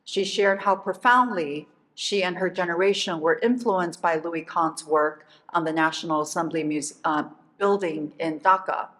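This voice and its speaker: A woman introduces a guest speaker in a lecture hall, reading formal prepared remarks. When she departs from the script she adds fillers. Her voice sits somewhat low. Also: normal speed, hardly any pauses, a bit bright. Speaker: faculty host introducing a speaker.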